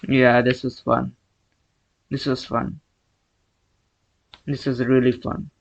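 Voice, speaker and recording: A man's voice: medium pitch, level tone, takes it slowly, creaky, dull audio. A man giving casual reaction commentary as a video host, in short, repeated exclamations. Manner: exclamatory, repetitive short interjections.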